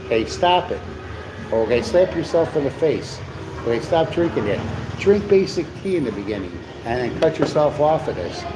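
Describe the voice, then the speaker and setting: A man's voice: a little high, very animated, moderate pace. A man co-hosting a live stream, chatting in a rambling, preachy way with lots of stammered restarts. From USA. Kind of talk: rambling casual chat. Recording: noisy live stream with background hum.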